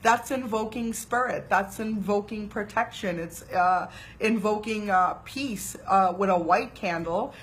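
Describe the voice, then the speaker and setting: A woman from Trinidad and Tobago giving a casual spiritual talk, chatty and animated, often checking in with "right?". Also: mid-range voice, measured pace, slight background noise. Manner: chatty, animated, frequent uh fillers and "right?" asides. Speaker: spiritual teacher.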